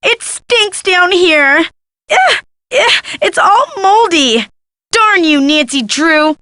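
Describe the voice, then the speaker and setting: A sassy young American schemer in a video game. The voice is high and bright, very dry and close, with a bratty, slangy, taunting edge. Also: female voice, lively intonation, normal speed, no echo. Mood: panicked, whiny. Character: bratty young female photojournalist, scheming greedy diamond thief. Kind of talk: video game character acting.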